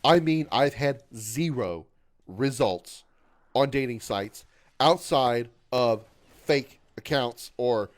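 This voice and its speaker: A man's voice: medium pitch, takes it slowly. Rambling, glum man in his thirties. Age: 30s. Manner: rambling and repetitive, stumbles over words; glum and self-deprecating. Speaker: game streamer. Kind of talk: casual livestream chat with viewers.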